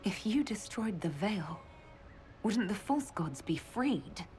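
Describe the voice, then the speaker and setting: An actress playing a steadfast heroic leader in a video game, questioning in a serious, direct way. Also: female voice, medium pitch, big pitch swings, takes it slowly, a little mellow, soft background music. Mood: probing, serious. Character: female leader of an inquisition, probing, steadfast hero. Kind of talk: dramatic video game dialogue.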